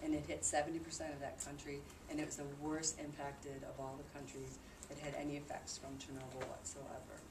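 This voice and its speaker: Low-voiced woman presenting in an echoey room. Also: monotone, a little fast, hardly any pauses. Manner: conversational, explanatory. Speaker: presenter reporting on a charity trip. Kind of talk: informal presentation to a group. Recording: distant mic in noisy, echoey room.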